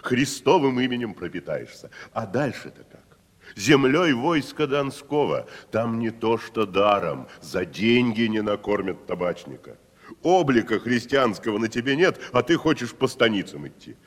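An actor playing a proud, haughty provincial tragedian, patronizing and theatrical, in a 1970s audio stage drama. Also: male voice, medium pitch, sing-song, normal speed. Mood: lordly scolding. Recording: reverberant hall-like studio recording.

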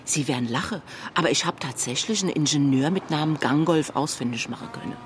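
A woman's voice: sits low, quick pace, slight background noise, close to an everyday voice. An actress playing a keen, down-to-earth policewoman in a radio play. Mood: pleased, reporting. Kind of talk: radio drama acting.

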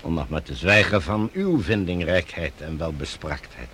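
An actor playing a courteous, weary general in a radio drama, formal and gentlemanly. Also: male voice, low voice, animated, moderate pace, slight background noise. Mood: reflective, courteous. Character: courteous, weary male general recovering his senses.

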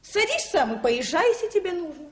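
A woman's voice: very high-pitched, very animated, a little fast. A woman playing an outspoken, practical kindergarten teacher in a 1960s radio drama, blunt and no-nonsense. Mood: brisk, blunt.

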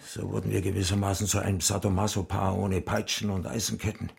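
An actor playing a dying old widower in a German radio crime drama, creaky-voiced, self-accusing, morbid and submissive. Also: male voice, deep voice, little pitch movement, fast talker, heavily acted. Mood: bitter, resigned. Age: older.

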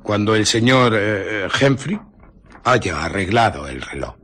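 Curt reclusive scientist, low husky voice, halting commanding delivery, radio play. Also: male voice, big pitch swings, pushed and bright, full character voice. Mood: guarded, stern. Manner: terse, imperious, halting. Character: secretive bandaged male scientist, brusque, demanding solitude. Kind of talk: Spanish radio drama acting.

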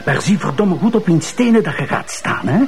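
A man's voice: sits high, sing-song, a little fast, soft background music. A man with a Flemish accent acting an exasperated, testy public prosecutor in a radio drama. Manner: emphatic, testy. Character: exasperated male public prosecutor, grudgingly giving in.

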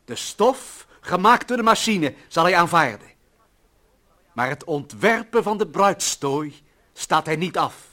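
High-pitched, declamatory male idealist. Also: animated, a little slow, pushed and bright, heavily acted. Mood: defiant. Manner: rhetorical, solemn, bombastic oratory. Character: earnest, idealistic male employee defending humanity against machines. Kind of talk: declamatory older radio-play acting.

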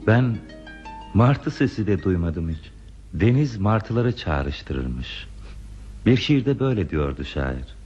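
An actor playing a lonely, gentle hermit in a radio play, speaking in a hesitant, pensive, polite way. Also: male voice, lowish voice, big pitch swings, slow, husky, soft background music, full character voice. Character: lonely, gentle hermit man living in a remote place. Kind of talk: Turkish radio theater acting. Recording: dull, muffled radio drama recording.

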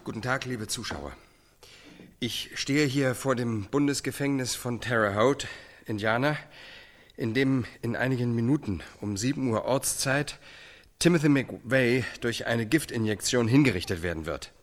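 An actor playing a formal American TV reporter presenting a live news broadcast in a radio drama. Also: male voice, medium pitch, a little slow, natural voice. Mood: formal, solemn. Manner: formal, announcer-like.

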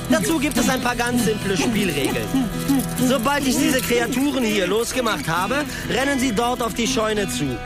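High-voiced, sadistic theatrical showman. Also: expressive, moderate pace, over loud music. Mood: smug, ceremonious. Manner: pompous announcer politeness turning vicious. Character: sadistic theatrical male arms-dealing showman, mockingly courteous. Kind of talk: radio crime drama acting.

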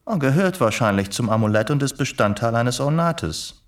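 A man's voice: medium pitch, lively intonation, moderate pace, natural voice. A man playing an eccentric, erudite English reporter and secret agent in a German radio play, with an urbane, lecturing, sardonic manner. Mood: learned relish. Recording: slightly distant radio play recording.

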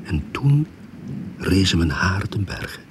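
An actor playing the first-person narrator of a suspense radio drama, telling it in a hushed, tense way. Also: male voice, low voice, sing-song, measured pace. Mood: suspenseful. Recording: faint background noise.

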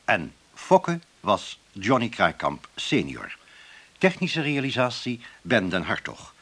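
A man's voice: on the low side, wildly swooping pitch, slow pace, a bit bright, breathy edge. A man reading scripted closing credits as a radio announcer.

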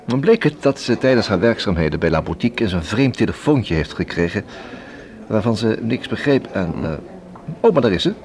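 An actor playing a polite, businesslike police inspector in a radio play, explaining things in a deliberate, friendly way. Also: male voice, fairly low pitch, sing-song, darkish tone. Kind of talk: radio drama acting.

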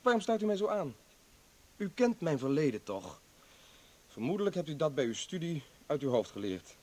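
A defiant, embittered doctor in a radio drama. His voice sits a little high and moves very animatedly through its range. He argues sarcastically and indignantly, as a man who has been imprisoned for his politics. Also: sing-song, normal speed, mellow. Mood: bitter, sarcastic. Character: bitter, defiant male doctor, former political prisoner. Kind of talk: dramatic radio play acting.

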